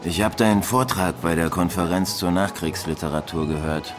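An actor playing a drunk, shy party host who is quietly challenging, in radio drama dialogue. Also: male voice, low-pitched, moderate pace, over music, close to an everyday voice. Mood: needling.

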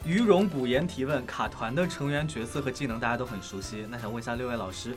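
A man's voice: medium pitch, quick pace, music in the background. A man speaking as a cast member in a casual group chat on a talk show.

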